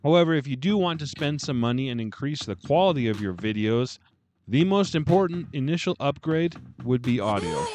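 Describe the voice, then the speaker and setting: A man with a deep voice giving casual, self-deprecating vlog tips at home, talking close into a dynamic microphone. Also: fairly quick, a little hiss or hum. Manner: chatty, self-deprecating, animated. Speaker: booktube vlogger. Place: home room lined with bookshelves.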